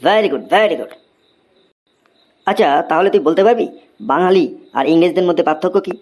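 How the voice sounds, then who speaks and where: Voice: mid-range voice, normal speed, a little mellow, natural voice. A performer voicing an exasperated male schoolteacher in a Bengali comedy cartoon, with a theatrical, scolding manner. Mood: patient, quizzing.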